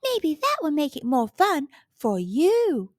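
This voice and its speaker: A woman voicing a cheerful, helpful dung beetle friend in a children's storybook, encouraging and expressive. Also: high voice, takes it slowly, no echo, studio quality, heavily acted.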